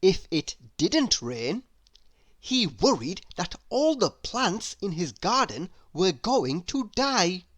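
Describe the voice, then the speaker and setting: A woman reading a children's story aloud in an expressive, deliberate storytelling manner. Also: on the low side, dry recording.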